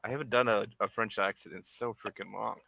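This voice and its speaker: A man making puppets on a casual livestream, muttering quietly to himself as he works at his bench. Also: fairly low pitch, steady tone, brisk, gravelly. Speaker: puppet maker streaming his work. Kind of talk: casual livestream chat while crafting. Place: home work bench.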